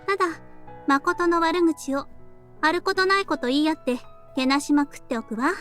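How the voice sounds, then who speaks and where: A woman's voice: high-pitched, fast talker, fairly bright tone. A woman voice-acting a sly, teasing and perceptive younger sister in a Japanese visual novel. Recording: game audio with music behind.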